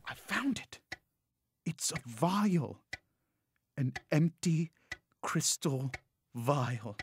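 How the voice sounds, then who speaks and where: An aging actress of the stage, played by a woman in an audio drama, slow and breathless, her pitch swinging widely as she yearns and then takes fright. The delivery is theatrical, lingering on each word. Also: expressive, a little hiss or hum, heavily acted. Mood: eager. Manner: slow, breathless, rising to alarm. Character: aging stage actress seeking eternal life.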